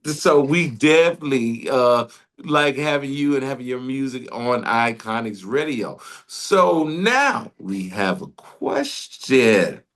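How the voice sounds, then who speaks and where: A man hosts a radio interview, talking in an enthusiastic, meandering way and laughing often. His voice sits at an ordinary middle pitch, and he chats casually with his guest. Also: animated, takes it slowly, fairly bright tone. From Detroit, Michigan.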